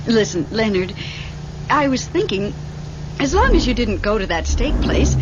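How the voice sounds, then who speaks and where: An actress playing a chatty, wry, polite widow in an old-time radio drama, with expressive, conversational delivery. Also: female voice, medium pitch, unhurried, dull audio. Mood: friendly, coaxing. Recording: old radio drama under loud vehicle noise.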